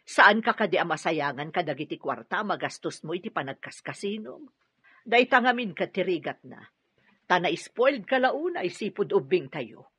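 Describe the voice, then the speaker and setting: Sharp, scolding elder sister. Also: female voice, on the low side, animated, moderate pace, husky, no room sound, heavily acted. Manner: sharp, reproachful. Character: stern, scolding elder sister, self-reliant and bitter. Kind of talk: Ilocano radio drama acting. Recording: dull band-limited radio drama audio.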